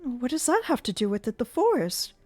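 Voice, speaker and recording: A woman reading voices a frightened young woman begging gently, her pitch lifting high above the narration. The tone is soft and pleading. Faint music runs underneath. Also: expressive, normal speed.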